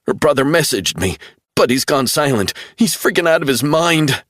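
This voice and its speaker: A man's voice: sing-song, normal speed, fairly bright tone, slightly rough, no echo, clean studio sound, exaggerated voice. A man doing a distraught young man, pleading through tears. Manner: sobbing, pleading, high-strung.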